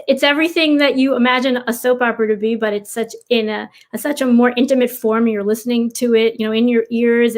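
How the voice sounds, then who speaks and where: Female interview guest, slightly high-pitched, effusive and conversational, frequent restarts, video-call setting. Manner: effusive, restarts phrases often. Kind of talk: casual interview chat.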